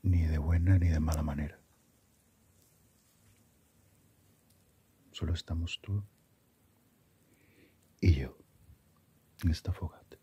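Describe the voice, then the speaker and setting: A man voicing Death as a calm, philosophical figure in a slow ASMR roleplay, hushed, breathy and half-whispered, musing gently. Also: deep voice, lo-fi sound, full character voice. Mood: hushed, hypnotic. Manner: unhurried, musing, asks reflective questions.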